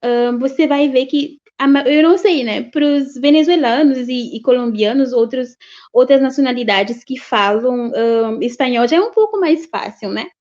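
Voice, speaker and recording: A woman from Haiti with a Haitian accent and a high, light voice, answering an interview question in a halting, searching way. The recording is dull and lo-fi. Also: a little mellow. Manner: hesitant, with fillers and restarts. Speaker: interview guest, student. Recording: dull lo-fi audio.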